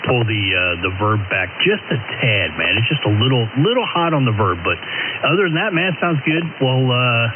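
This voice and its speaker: A man's voice: mid-range voice, sing-song, normal speed. A man, an amateur radio operator calling in over a two-way radio, chatting casually in an easygoing way with hesitant repeats. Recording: narrow-band, hissy two-way radio.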